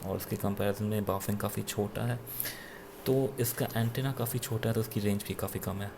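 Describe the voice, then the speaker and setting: Low, gravelly Indian man reviewing gadgets. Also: steady tone, noisy background. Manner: halting, self-correcting. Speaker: product reviewer. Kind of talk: unscripted gadget review, mixing Hindi with English.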